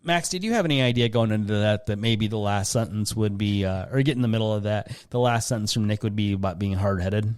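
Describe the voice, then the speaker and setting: Man, Midwestern American accent, slightly deep voice, casual podcast host, rambling, jokey, self-interrupting. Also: fast talker, few pauses, darkish tone, clear, resonant tone. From Lansing, Michigan. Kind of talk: casual talk answering listener questions.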